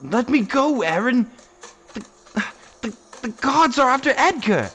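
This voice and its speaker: A woman's voice: high voice, sing-song, a little slow, a bit bright, exaggerated voice. A woman playing a loyal, frantic teenage little brother in a fantasy audio drama, urgent and stammering. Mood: frantic confusion. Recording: very noisy, clicky recording.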